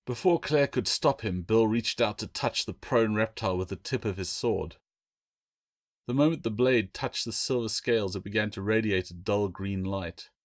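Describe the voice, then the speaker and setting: A man's voice: fairly low pitch, moderate pace, no room sound, clean studio sound. A man narrating a fantasy novel as its author, in a scripted audiobook reading.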